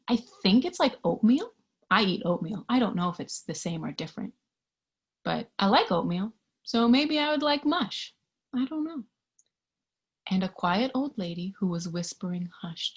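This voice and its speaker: A woman reading a picture book to young children in a gentle, singsong way, sometimes hushed, asking the listener simple questions with childlike wonder. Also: medium pitch, sing-song, moderate pace, breathy edge. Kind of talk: interactive children's read-aloud with questions to the listener.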